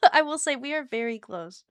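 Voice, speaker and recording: A woman streamer chatting casually with her viewers, with a soft, soothing voice and a chatty, giggly manner. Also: high voice, very animated, unhurried, no room sound, studio quality. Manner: chatty and giggly, talks to chat by name.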